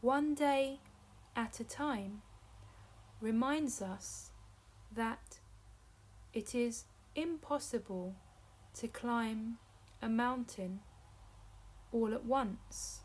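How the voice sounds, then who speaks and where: A woman narrating a guided meditation as a teacher, in measured short phrases separated by long pauses. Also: fairly high pitch, darkish tone.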